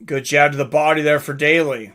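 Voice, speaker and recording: A man's voice: fairly high pitch, fairly even intonation, brisk, a bit bright. A man giving casual live fight commentary in an informal, conversational way, like a livestream host.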